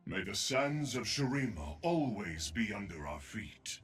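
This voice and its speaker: A man voicing a proud, commanding bird emperor of the desert in a video game, declaiming in a stately, pompous way with a raspy voice. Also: lowish voice, big pitch swings, unhurried, fairly bright tone, faint music behind. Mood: regal pride. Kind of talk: video game character voice lines.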